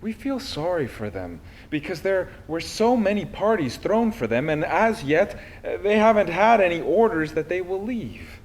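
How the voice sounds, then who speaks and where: A man reading a personal letter aloud expressively, with deliberate phrasing and pauses. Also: sits high, very animated, darkish tone, a little hiss or hum. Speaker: reader of a historical letter.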